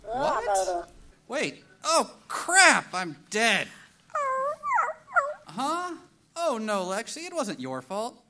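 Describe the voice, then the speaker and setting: A woman playing an irritable, harried teenage boy gamer in a live comedy audio play, excitable and exasperated. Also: mid-range voice, very slow, pauses often, very noisy.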